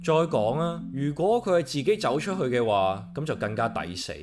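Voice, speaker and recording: A man's voice: mid-range voice, expressive, normal speed, lightly acted. A man with a Hong Kong accent playing a grumpy, solitary, sarcastic man in a comic radio drama, grumbling and muttering to himself. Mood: grumbling, aloof. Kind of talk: comic radio drama acting and inner monologue.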